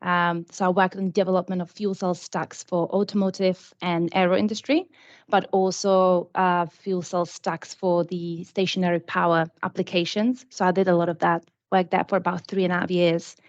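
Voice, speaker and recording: A chatty woman in her twenties from the Czech Republic, a native Czech speaker, tells her story with quick asides. Her voice sits a touch low. The line is dull and lo-fi. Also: flat delivery. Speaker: panel guest, product systems engineer. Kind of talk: casual panel talk. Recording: dull lo-fi sound.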